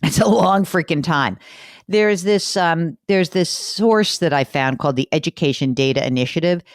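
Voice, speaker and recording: A woman's voice: low-pitched, normal speed, dry recording, studio quality. A woman hosting a personal finance show, talking in a chatty, emphatic way. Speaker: money show host. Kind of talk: conversational radio podcast talk.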